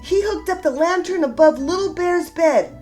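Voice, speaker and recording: A woman reading a children's storybook aloud with deliberate, expressive storytelling emphasis. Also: extremely high pitch, soft background music. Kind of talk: expressive read-aloud narration for young children.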